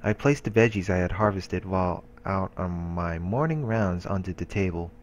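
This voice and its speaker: A man's voice: low voice, normal speed, a little hiss or hum. A man hosting a let's play, reading game narration aloud with occasional casual asides.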